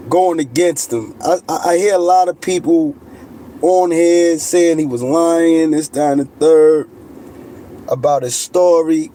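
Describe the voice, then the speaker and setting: Mellow-voiced man in a street-slang monologue. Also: sits high, a little hiss or hum, poor audio. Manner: street slang, insistent, repeats "you know what I mean". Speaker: channel host. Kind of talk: casual monologue to camera.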